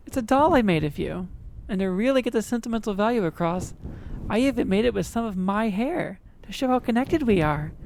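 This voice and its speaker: A woman's voice: medium pitch, darkish tone, a little hiss or hum, lightly acted, poor audio. A woman playing an obsessive, boastful kitsune fox girl in an ASMR roleplay, cajoling and dramatic. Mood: devoted, cajoling.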